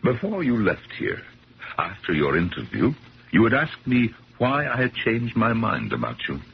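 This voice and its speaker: A stiff, pompous old gentleman in a vintage radio play. His low voice swings emphatically as he accuses and lectures in formal phrases. The recording is muffled, with noticeable hiss. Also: wildly swooping pitch, normal speed, creaky, heavily acted. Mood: stiff, evasive. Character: rich old man, stiff, accusing, self-righteous collector. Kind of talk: 1970s radio drama acting. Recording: muffled, hissy 1970s radio broadcast.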